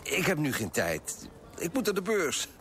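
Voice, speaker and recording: A man's voice: fairly high pitch, wildly swooping pitch, measured pace, fairly bright tone, soft background music, full character voice. An actor playing a smug, moralizing male broker who narrates in a pompous, disapproving way in a radio play. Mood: evasive.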